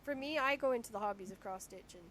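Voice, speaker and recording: A young woman hosting a podcast, chatting enthusiastically with a naturally squeaky voice. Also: quick pace, crowd noise. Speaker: podcast host. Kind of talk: casual interview chat.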